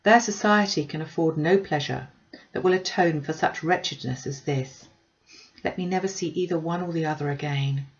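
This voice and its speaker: A woman's voice: low-pitched, moderate pace, dull audio. A woman voicing a proud young lady, earnest and self-reproaching as she broods inwardly in an audiobook. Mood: wretched. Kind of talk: audiobook character voice.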